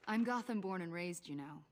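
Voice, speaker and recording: An actress playing a shrewd, probing government agent in a video game, with a husky, breathy American voice. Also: female voice, lowish voice.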